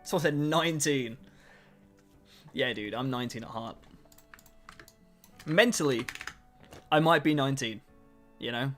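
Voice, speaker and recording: A young man in his twenties talks casually to his livestream chat. His voice sits at a middling pitch, and he jokes at his own expense. Faint music and keyboard typing run underneath. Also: a little slow, long pauses, a bit bright. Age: 20s. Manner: chatty, self-mocking, answers chat between tasks. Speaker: streamer.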